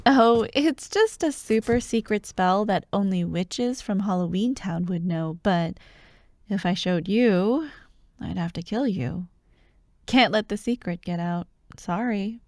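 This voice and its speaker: A woman playing an excitable, teasing young childhood friend who loves Halloween, in an ASMR roleplay addressed to the listener. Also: mid-range voice, a little slow, dry recording, clean studio sound, close to an everyday voice. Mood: mock-sinister teasing. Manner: playful, teasing, animated, with frequent giggles and mock drama.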